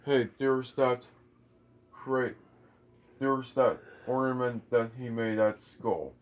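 A puppeteer in casual homemade puppet play, giving brief, halting replies with repeated words. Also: medium pitch, fairly even intonation. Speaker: puppeteer in a home puppet show. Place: living room beside a Christmas tree at home. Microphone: phone camera a short way off.

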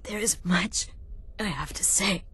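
An actress playing a dying, remorseful leader of assassins in a fantasy video game, weak, gasping and breathy. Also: female voice, medium pitch, measured pace, bright, forceful tone, full character voice. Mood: anguished, remorseful. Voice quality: husky, breathy.

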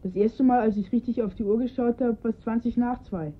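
A woman's voice: a little high, a little fast, natural voice. A woman playing an observant, earnest young boy in a radio crime drama, recounting details precisely. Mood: eager, precise. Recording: muffled phone-like band-limited sound.

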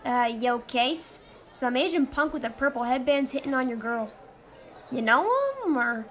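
A woman doing a gruff teen street brawler. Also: high voice, steady tone, moderate pace, fairly bright tone, slight background noise, pitched below the performer's own voice. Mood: taunting. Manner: blunt and pushy. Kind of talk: comic dub acting.

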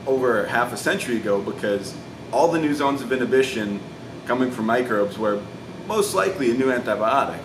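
A man in his thirties giving an earnest, informal explainer to camera as a science video host. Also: fairly low pitch. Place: indoor laboratory with large windows. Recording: echoey room, distant mic, clear ventilation noise.

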